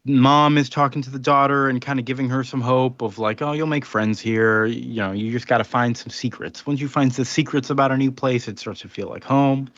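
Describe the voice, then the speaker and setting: A man's voice: medium pitch, steady tone, brisk, hardly any pauses, a bit bright, no room sound, lo-fi sound. A man casually recapping a comic book plot on a podcast, rambling with filler words and little laughs. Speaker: podcast co-host.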